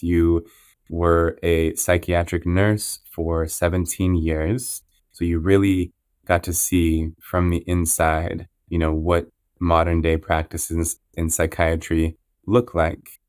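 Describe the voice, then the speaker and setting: A man with a low, level voice hosts a podcast and talks in an earnest, measured way. His tone stays clear and smooth, recorded dry and close. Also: darkish tone, dry recording. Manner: measured, with frequent restarts and "you know". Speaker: podcast host. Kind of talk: interview intro, earnest and reflective.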